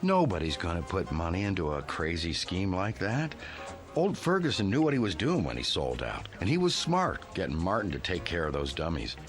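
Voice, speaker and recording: Very low voice, blunt practical brother, protective, lively intonation, vintage TV drama, prominent music. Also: male voice, moderate pace, exaggerated voice. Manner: blunt, matter-of-fact. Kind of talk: vintage television drama acting.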